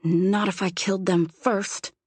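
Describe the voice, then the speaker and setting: A woman's voice: fairly high pitch, quick pace, pitched above the performer's own voice, close to an everyday voice. A woman voicing a fierce, prickly young heroine in an audiobook, with terse, muttered retorts. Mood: defiant. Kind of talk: audiobook character voice.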